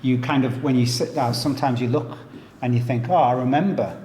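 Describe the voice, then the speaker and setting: A mid-pitched man chatting easily, who laughs often and stumbles over repeated words while he tells stories. The sound is roomy and echoey, with a faint hiss of background noise. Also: brisk, a little mellow. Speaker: jiu-jitsu professor and academy co-owner. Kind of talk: casual chat. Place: inside a martial arts gym.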